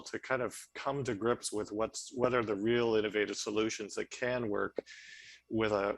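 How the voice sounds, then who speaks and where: A man with a lowish voice speaks thoughtfully on a video call, sometimes halting. The sound is dry and close, with no room in it. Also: gravelly, a bit bright, dry recording. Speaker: party transportation critic. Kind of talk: roundtable discussion.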